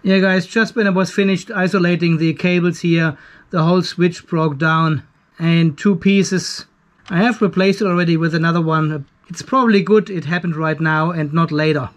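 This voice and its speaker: A man's voice: on the high side, steady tone, moderate pace. An older man with a German accent, narrating hands-on work casually and informally as he thinks aloud in a garage workshop, with some self-deprecation. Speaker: DIY video host.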